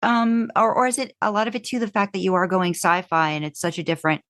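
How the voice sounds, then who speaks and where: A woman's voice: mid-range voice, quick pace, fairly bright tone, dry recording, studio quality. A woman co-hosting a casual podcast interview, asking long, rambling questions and often murmuring agreement. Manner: rambling questions, frequent backchannel "mm-hmm". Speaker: podcast co-host.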